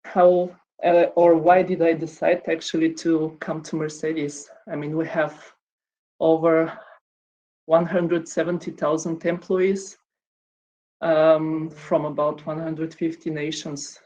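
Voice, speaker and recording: Lady in her 30s doing a conference talk, pretty flat voice, lots of ums. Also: low-pitched, takes it slowly, poor audio. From Bulgaria. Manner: hesitant, many ums and restarts. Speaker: conference speaker.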